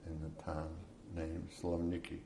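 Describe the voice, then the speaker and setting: A man in his seventies from Poland, giving slow, measured answers in an oral history interview. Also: low-pitched, monotone, warm tone, slight background noise. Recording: echoey room.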